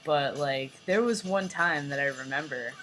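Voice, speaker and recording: A young man with a light, fairly high voice talking in a rambling, casual vlog, full of "like" and "you know". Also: normal speed, pushed and bright, soft background music, poor audio. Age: young adult. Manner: rambling, filler-heavy, lots of "like" and "you know". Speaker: vlogger.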